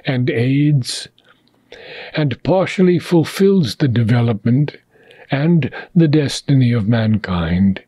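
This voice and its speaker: Man reading a philosophy text, very slow, soft husky tone, measured pauses, dry close sound. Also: medium pitch, no room sound. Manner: measured phrasing with long pauses between short phrases. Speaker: reader of a philosophy book. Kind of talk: slow, deliberate reading aloud.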